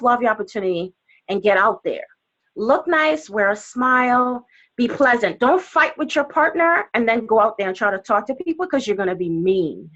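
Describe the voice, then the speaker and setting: A woman from Trinidad with a Trinidadian accent, giving an energetic, chatty motivational sales talk on a team webinar, full of fillers and acted-out quotes. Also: a little high, highs cut off. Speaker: guest trainer on a team webinar. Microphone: webinar call audio.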